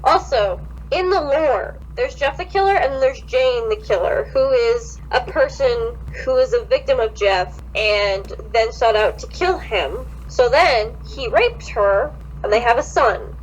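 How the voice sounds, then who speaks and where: A young woman with a high voice reads fan fiction aloud and comments on it. She is sarcastic and swears freely, with faint hum behind her. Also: animated, measured pace, few pauses, lo-fi sound. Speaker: podcast co-host. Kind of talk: comedic dramatic reading with casual commentary.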